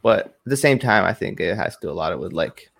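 A man from Iraq who moved to Canada, chatting casually as an interview guest, relaxed and colloquial with many filler phrases. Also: low voice, fairly quick, gravelly. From Iraq, moved to Canada in 2003. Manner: relaxed, colloquial, frequent 'like' and 'you know'. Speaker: esports pro, interview guest.

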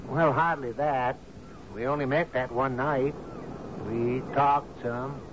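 An actor playing an uneasy, subordinate island man in a radio drama, speaking in a halting, guarded way. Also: medium pitch, steady tone, natural voice. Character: hesitant, uneasy subordinate islander living under the captain. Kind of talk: old-time radio drama acting. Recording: muffled, noisy old radio broadcast.

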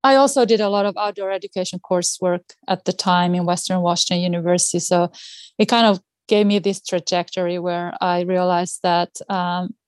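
A woman's voice: on the low side, steady tone, brisk, dry recording. Finnish-accented woman, dry close recording. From Finland. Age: middle-aged. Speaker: podcast guest, outdoor educator. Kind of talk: casual interview chat.

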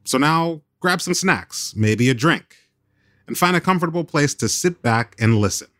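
A man's voice: on the high side, expressive, moderate pace, fairly bright tone, no room sound. A man speaking as host and narrator in scripted podcast narration.

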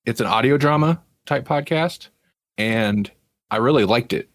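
A man's voice: mid-range voice, unhurried. A man co-hosting a podcast, chatting casually and in a rambling way about television. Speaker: podcast co-host. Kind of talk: casual review chat about TV.

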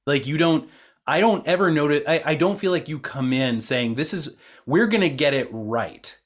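A man's voice: a little high, expressive, normal speed. A man acting as interviewer in a conversational discussion, informal and thinking aloud as he rephrases his questions. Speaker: interviewer, co-producer.

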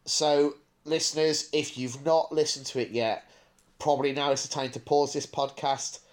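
A man's voice: on the high side, fairly even intonation, normal speed. A man hosting a podcast in casual review chat, thinking aloud in a discursive way. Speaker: podcast host.

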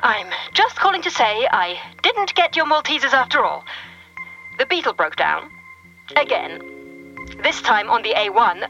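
Muffled, high-voiced frazzled woman. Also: big pitch swings, measured pace, pauses often, music in the background. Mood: exasperated, weary. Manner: exasperated, harried. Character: frazzled, overworked woman working for a shady agency. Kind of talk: voicemail message in audio drama.

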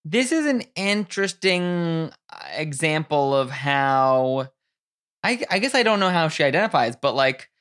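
Woman co-host, very low pitch, chatty and meandering, a little tipsy. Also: animated, a bit bright, clear, resonant tone. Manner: chatty, thinks aloud with restarts, quick bursts. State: tipsy from drinking. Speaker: podcast co-host. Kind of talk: casual chat.

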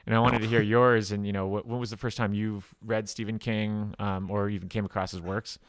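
A man's voice: fairly low pitch, level tone, fairly quick, no room sound. A man co-hosting a podcast, asking questions in a friendly, enthusiastic way. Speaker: podcast co-host. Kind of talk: casual podcast interview.

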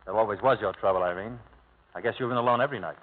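An actor playing a bitter, brooding Italian-American ex-convict in a clipped, hard-boiled 1950s radio drama style. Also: male voice, on the low side, rapid-fire, lightly acted. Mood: bitter, guarded. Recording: old tinny radio broadcast, music behind.

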